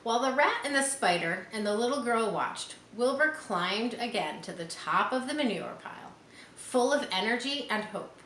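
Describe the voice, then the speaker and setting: A woman reading a children's story aloud expressively, pausing to explain things as if to schoolchildren. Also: a little high, animated, fairly bright tone. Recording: roomy echo, faint background noise.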